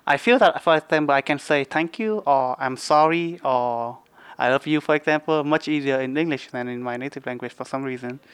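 A young man whose first language is Vietnamese speaks English softly and carefully. His voice is smooth and resonant, and the recording is dry. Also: mid-range voice, brisk, no room sound. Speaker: interviewee. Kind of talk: casual interview answers.

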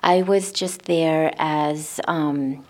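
Young woman, from Iraq, interview answers, halting speech, deep voice. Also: fairly even intonation, darkish tone. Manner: hesitant, many fillers. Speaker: interviewee, volunteer.

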